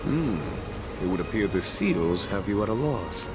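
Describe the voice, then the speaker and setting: Deep courtly game commander. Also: male voice, lively intonation, unhurried. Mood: calm, musing. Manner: polite, formal, musing. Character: courtly, calm, perceptive male commander, thoughtful. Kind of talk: video game voice acting. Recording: loud music behind the voice.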